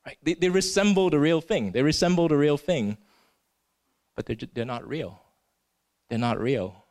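Earnest preacher who grew up in Singapore. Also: male voice, medium pitch. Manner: earnest, frequent "right?" tags and stumbling restarts. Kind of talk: sermon.

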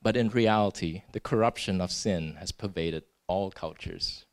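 A man's voice: sits low, normal speed. A man from Singapore preaching a sermon in an earnest way. He often tags his points with "right?" and restarts his words.

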